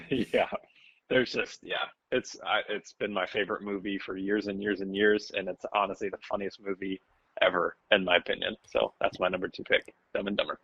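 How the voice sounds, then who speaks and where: A man's voice: sits low, steady tone, fairly quick, creaky, bright, forceful tone, breathy edge, no echo, muffled recording. A man with an American accent chatting casually as a panelist on a podcast. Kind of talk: casual movie chat among friends.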